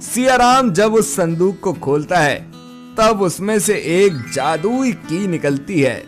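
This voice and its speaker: A man reading scripted narration for an animated moral story as its storyteller. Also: high voice, takes it slowly, soft background music.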